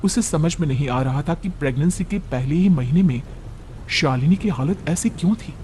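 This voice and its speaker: High-toned male storyteller, noisy background. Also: animated, normal speed, warm tone. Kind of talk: scripted dramatic story narration.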